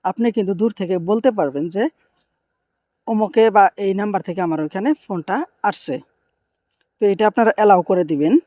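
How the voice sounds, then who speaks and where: High-pitched man giving instructions. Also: moderate pace, long pauses, a little mellow, no echo, studio quality. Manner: instructional, repeats phrases for emphasis. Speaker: tech tutorial host. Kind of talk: step-by-step phone tutorial narration.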